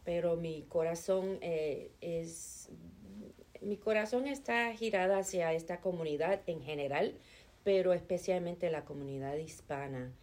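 Middle-aged woman, low voice, unhurried, earnest interviewee. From Chicago, United States. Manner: calm and earnest, with hesitation fillers. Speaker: interviewee, nonprofit administrative assistant. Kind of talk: conversational interview answers.

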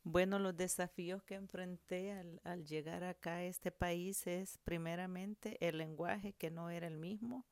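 A middle-aged woman from El Salvador, telling her migration story to her daughter with many pauses and repeated words. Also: fairly low pitch, steady tone, a little mellow, smooth, dry recording. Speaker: interviewee, mother. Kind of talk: personal storytelling interview.

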